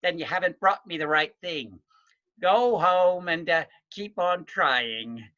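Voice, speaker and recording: Man as a deliberate, riddling village witch. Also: fairly high pitch, expressive, bright, forceful tone, muffled recording, exaggerated voice. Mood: testing. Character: riddling village witch, a stern testing old woman.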